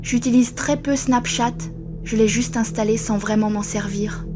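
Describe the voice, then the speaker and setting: A woman narrates a first-person horror story in a steady, scripted manner. Her voice sits a little high and stays strikingly flat, barely rising or falling. Faint music plays beneath her. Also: normal speed.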